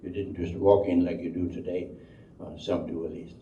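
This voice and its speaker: An older man from Denmark with a Danish accent tells his life story unscripted, halting and repeating words as he rambles along. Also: sits low, flat delivery, mellow. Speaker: speaker telling life story. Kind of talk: unscripted oral history storytelling. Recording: dull, roomy, distant microphone, faint noise.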